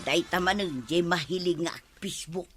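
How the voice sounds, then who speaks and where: A woman's voice: on the low side, moderate pace, music in the background, close to an everyday voice. A woman with an Ilocano accent playing a sassy, skeptical villager in a radio comedy drama, grumbling as she talks.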